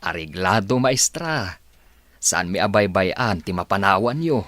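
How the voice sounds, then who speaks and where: Man acting lively Filipino village handyman, good-natured. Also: deep voice, unhurried, dry recording. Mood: warm. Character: obliging, good-natured village carpenter and father. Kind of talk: radio drama acting.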